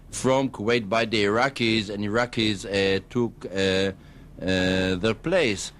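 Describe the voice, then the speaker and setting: Male official with Israeli accent, medium pitch, diplomatic and hesitant, answering formally in a radio interview. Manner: measured, diplomatic, halting with long hesitations. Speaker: embassy spokesman, radio guest.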